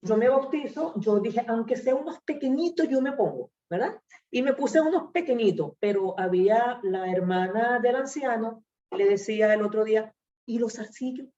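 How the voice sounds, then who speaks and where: A woman's voice: fairly high pitch, expressive, normal speed, mellow, recorded from a distance. A woman from Venezuela, giving a hesitant, rambling personal testimony full of fillers. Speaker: church member. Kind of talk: personal testimony in a group discussion. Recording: echoey room, off-mic, muffled.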